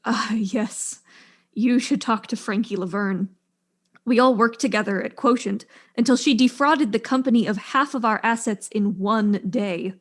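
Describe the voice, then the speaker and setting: A woman playing a proud, composed widowed scientist in a mystery drama, speaking in a measured, earnest way. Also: fairly high pitch. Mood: accusing. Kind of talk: acted mystery audio drama.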